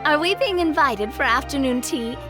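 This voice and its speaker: A woman's voice: sits high, animated, moderate pace, pitched above the performer's own voice. A woman voicing a poised, confident female duelist who speaks in a composed, wry way. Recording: with music behind.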